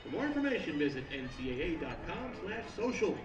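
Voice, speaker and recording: A man's voice: on the high side, very animated, fast talker. A man reading a scripted promotional announcement as a public-address announcer. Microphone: picked up from afar. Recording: echoey, muffled, distant from microphone.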